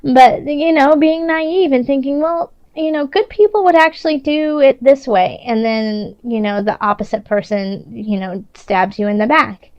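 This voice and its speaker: A woman's voice: high-pitched, normal speed, hardly any pauses, a little mellow, smooth, dry recording, highs cut off. A woman giving a casual, improvised tarot reading in a conversational, reassuring way, often restarting her thoughts. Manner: reassuring, encouraging. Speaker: tarot reader.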